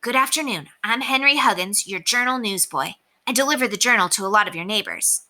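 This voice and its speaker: A woman's voice: fairly high pitch, expressive, a little fast, pushed and bright, pitched above the performer's own voice, heavily acted. A woman voicing a nervous, hesitant young boy who stammers, in a read-aloud storybook. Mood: rehearsing.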